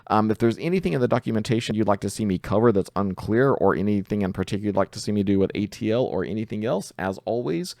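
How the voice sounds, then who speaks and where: A man's voice: lowish voice, animated, quick pace, gravelly, hardly any pauses, darkish tone, dry recording, clean studio sound. A man giving a casual coding tutorial, thinking aloud informally as he works through it. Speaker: tutorial presenter.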